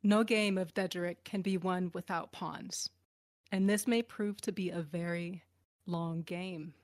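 Calculating, cryptic schemer, actress: unhurried, dry close sound, dramatic audio drama delivery. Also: female voice, on the low side, fairly even intonation, a little mellow, dry recording, natural voice. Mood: ominous, measured.

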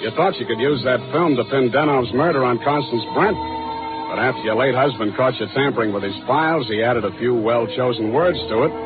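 A man's voice: mid-range voice, a little fast, natural voice. Man playing a cocky hardboiled radio detective. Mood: mocking, confident. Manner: brusque, slangy, commanding. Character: tough, cocky, wisecracking male private detective. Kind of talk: 1940s radio detective acting. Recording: muffled old radio recording with music.

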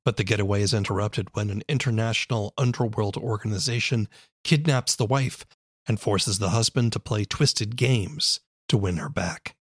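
A man's voice: lowish voice, moderate pace, breathy edge, no echo, clean studio sound. A man hosting a podcast, delivering scripted introductions and asking interview questions.

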